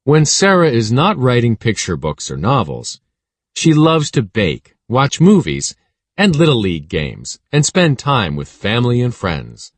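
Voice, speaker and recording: A man reading a children's story aloud in an expressive, teacherly way. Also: mid-range voice, unhurried, dry recording. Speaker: audiobook narrator for children. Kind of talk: scripted read-aloud storytelling.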